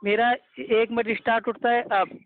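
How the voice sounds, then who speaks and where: A man's voice: very high-pitched, level tone, moderate pace. A man in an argumentative phone debate, speaking insistently and appealing to a moderator. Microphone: telephone. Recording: tinny narrowband conference phone call.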